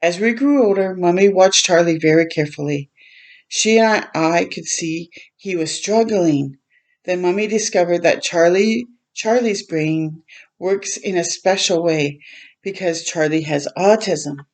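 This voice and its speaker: A woman hosting a storytime for children, reading a picture book aloud in a gentle way and pausing to chat and explain simply. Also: fairly low pitch. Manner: gentle, simple explaining to young listeners, with pauses to comment.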